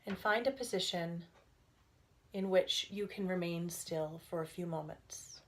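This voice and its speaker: A woman leading a guided relaxation as a meditation instructor, speaking gently and soothingly in unhurried phrases with pauses. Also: on the low side, slight background noise.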